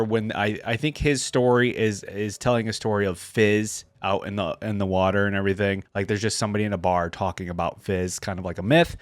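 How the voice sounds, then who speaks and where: A man's voice: mid-range voice, dry recording. A man giving casual, enthusiastic reaction commentary as the host of a video. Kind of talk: casual reaction commentary and expressive story reading.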